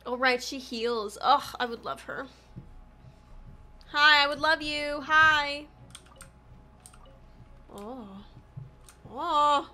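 High, bright-voiced woman gamer. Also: extremely slow, long pauses. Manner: animated, exclamatory, slangy. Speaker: gaming livestreamer. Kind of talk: casual gameplay commentary. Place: small indoor room at home.

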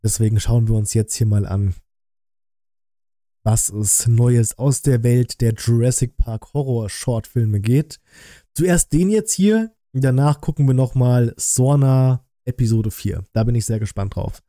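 A man's voice: mid-range voice, a little slow, mellow, no echo. A man, giving casual livestream commentary as a reaction host, close to the microphone indoors. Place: indoor room at home. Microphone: close streaming microphone.

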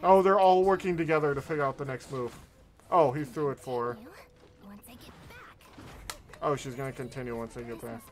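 A man's voice: medium pitch, normal speed, pauses often. A man hosting a reaction stream, giving casual, exclamatory commentary as he watches.

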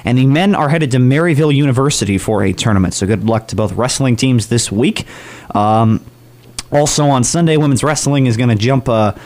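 A man's voice: mid-range voice, brisk, a little mellow. Chatty male morning radio DJ. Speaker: college radio morning show host. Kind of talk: upbeat radio DJ patter and announcements.

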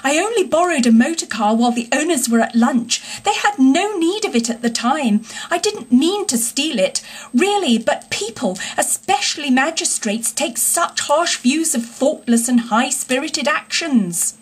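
A woman's voice: high voice, expressive, normal speed, voice pushed up. A woman voicing a vain, panicky male toad in a storybook, grandiose, self-pitying and pleading. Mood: sheepish, defensive.

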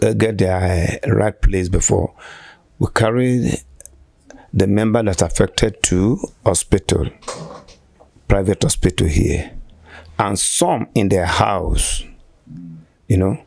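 A man in his sixties with a Nigerian accent, giving halting, deliberate answers as a church shepherd in an interview. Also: lowish voice, creaky, darkish tone, no echo. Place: small furnished room indoors, on a sofa. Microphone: handheld interview microphone held by interviewer.

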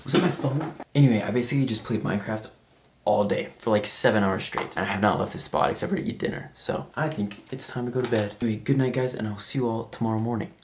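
A man's voice: fairly low pitch, fast, background noise. A young adult man chatting casually to his vlog audience in an informal, slangy way. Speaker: vlogger. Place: rooms at home, car, outdoors.

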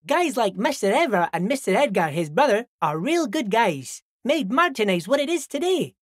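A man's voice: high voice, very animated, normal speed, dry recording, studio quality. An actor playing a friendly, simple-minded old dockworker in a video game, folksy and rambling, repeating himself as he chats. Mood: friendly, chatty. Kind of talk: video game character dialogue.

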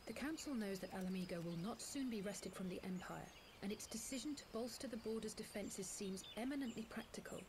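An actress voices a young elven girl in a fantasy game, poised and inquisitive. Her pitch is middling and her wording formal and precise. Birdsong is clear in the background. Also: brisk, hardly any pauses, background noise, close to an everyday voice. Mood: questioning. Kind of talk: scripted fantasy game acting. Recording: birdsong and outdoor ambience behind.

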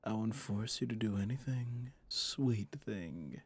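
A man playing a flirtatious, possessive mafia boss in an intimate ASMR roleplay, teasing and menacingly smooth, with a husky, breathy delivery. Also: a little mellow, exaggerated voice. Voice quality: low, husky, often dropping to a whisper. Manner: slow, teasing, intimate, with pet names. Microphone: close studio microphone, dry.

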